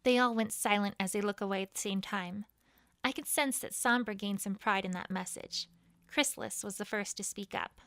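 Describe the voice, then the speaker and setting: A woman's voice: mid-range voice, fairly even intonation, normal speed, a bit bright, dry recording. A woman reading fan fiction as first-person story narration, in character as a proud pony queen.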